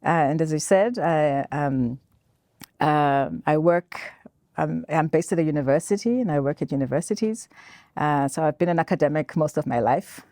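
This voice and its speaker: A woman's voice: sits low, normal speed, darkish tone, no room sound, studio quality. A woman academic from South Africa introducing herself on a panel, speaking with many hesitant fillers.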